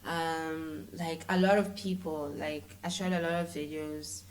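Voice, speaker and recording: A woman with a South African accent and a slightly low voice. She chats informally and in an animated way as a guest in a relaxed interview, switching between languages. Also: unhurried, low-quality recording. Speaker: interview guest, filmmaker and content creator. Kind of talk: casual conversational interview, code-switching.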